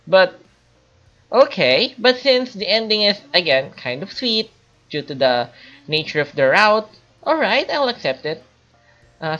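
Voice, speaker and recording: A woman giving an informal spoken game review in a hesitant, halting, explanatory way. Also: lowish voice, a bit bright, dull audio. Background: light background music.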